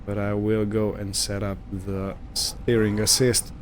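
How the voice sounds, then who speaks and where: A man giving casual let's-play commentary on a video game, musing to himself in an unhurried way. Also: fairly low pitch, monotone, a little hiss or hum. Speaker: gamer narrating own farming simulator playthrough.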